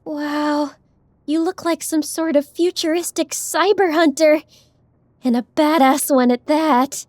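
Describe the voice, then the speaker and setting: Enthusiastic young heroine's companion, extremely high female voice, expressive, wide-eyed, dry studio sound, audiobook drama. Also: a little slow, dry recording. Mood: excited, awed. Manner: eager, openly expressive. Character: short, youthful, enthusiastic, easily awed woman sidekick. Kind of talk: dramatised science fiction audiobook acting.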